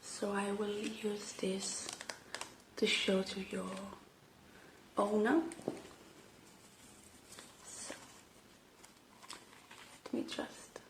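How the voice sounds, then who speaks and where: A woman doing a gentle, unhurried ASMR roleplay, speaking softly and slipping into breathy whispers close to the microphone. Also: mid-range voice, little pitch movement, noisy background. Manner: gentle, unhurried, murmuring to the listener. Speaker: ASMR creator playing a robot repair technician.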